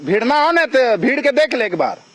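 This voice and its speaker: Very high-pitched man arguing on a phone recording. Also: sing-song, normal speed. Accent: Jharkhand, India. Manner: confrontational, challenging, repetitive. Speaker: local party representative. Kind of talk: heated phone argument. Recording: recorded phone call, crowd chatter behind.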